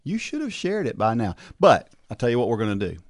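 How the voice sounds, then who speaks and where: A man's voice: medium pitch, huge pitch swings, fast talker, no echo, studio quality. A man hosting a casual talk show, chatty and encouraging, often restarting his sentences and tagging them with "right?". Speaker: podcast host. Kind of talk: casual chat.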